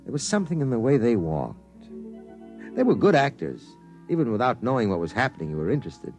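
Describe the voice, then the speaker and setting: A man's voice: mid-range voice, sing-song, brisk, darkish tone, soft background music. An actor in a 1950s radio drama playing a world-weary male newspaper reporter who narrates the story. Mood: narrating.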